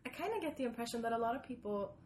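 A woman's voice: a little high, animated, racing, a little mellow. A woman from Sweden talking casually and candidly as a podcast co-host, a little self-doubting. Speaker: podcast co-host being interviewed. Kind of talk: casual conversation.

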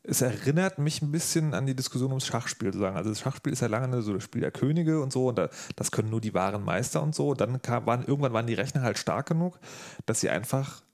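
A man's voice: medium pitch, animated, fast, a little mellow. A man hosting an interview, chatting informally and rephrasing his questions as he goes. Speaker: podcast host, interviewer. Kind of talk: casual chat, interview.

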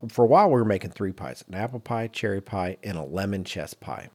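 A man co-hosting a podcast in casual banter, telling anecdotes in an animated way and acting out quotes. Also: deep voice, fast, slightly rough. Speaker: podcast co-host.

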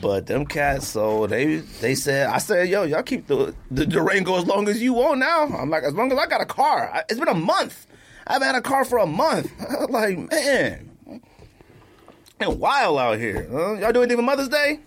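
A man's voice: high-pitched, normal speed. A man co-hosting a podcast, telling stories in casual slangy banter and acting out what people said. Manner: animated, slangy storyteller who acts out quotes. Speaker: podcast co-host.

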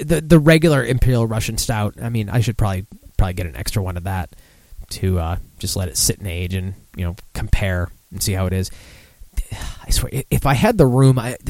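A man's voice: on the low side, brisk, darkish tone, no echo. A mildly tipsy man hosting a casual live podcast, rambling and swearing in a self-deprecating way. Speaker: podcast host. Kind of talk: casual live beer-news chat.

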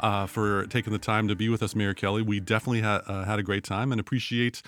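A man's voice: lowish voice, flat delivery, fast, a bit bright. A man co-hosting a casual podcast chat, playful and jokey with an upbeat delivery. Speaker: podcast co-host.

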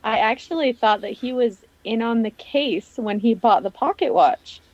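A woman's voice: fairly high pitch, moderate pace, darkish tone, dry recording, muffled recording. A woman co-hosting a podcast, casually talking over a television episode. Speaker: podcast co-host.

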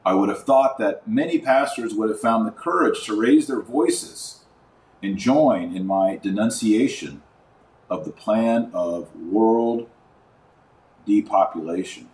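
Emphatic low-voiced man, roomy sound. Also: lively intonation, slow pace, darkish tone, far from the mic. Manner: emphatic, indignant, rhetorical questions. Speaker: podcast host and commentator. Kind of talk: religious commentary podcast, reading quotes and commenting.

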